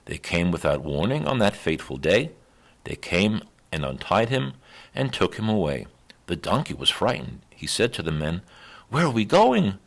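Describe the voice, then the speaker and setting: A male storyteller with a deep voice reads rhymed narration for children. His delivery is measured and rhythmic, moving expressively up and down in pitch. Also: very animated, creaky, a bit bright. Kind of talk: children's read-aloud, rhymed scripted narration.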